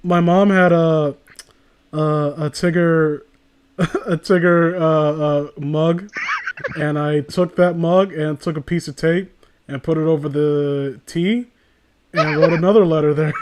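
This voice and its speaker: A man with a high voice hosts a casual comedic reading. He riffs sarcastically and swears freely, in an animated way. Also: slow, noisy background. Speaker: host reading fan fiction aloud. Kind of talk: casual comedic chat and riffing.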